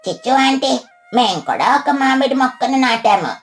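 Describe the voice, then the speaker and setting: A woman's voice: sits high, expressive, normal speed, faint music behind. A woman voicing a friendly young boy parrot in a children's cartoon, childlike and earnest. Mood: playful challenge.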